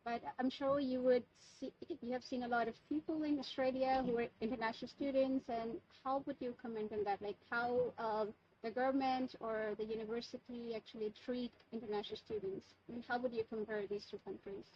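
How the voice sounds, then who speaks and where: A woman with a fairly high voice asks her questions politely, hesitating often with ums and uhs. The audio is dull and lo-fi, and a faint hum of background noise sits under her voice. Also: fairly even intonation, a little fast, darkish tone. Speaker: interviewer, channel host. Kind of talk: casual interview questions.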